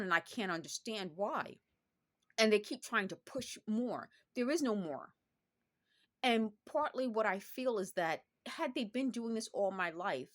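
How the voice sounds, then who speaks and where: A woman's voice: medium pitch, fairly bright tone. A woman in her fifties talking earnestly and insistently in a personal vlog monologue, circling back over the same points. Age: 50s. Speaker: vlogger.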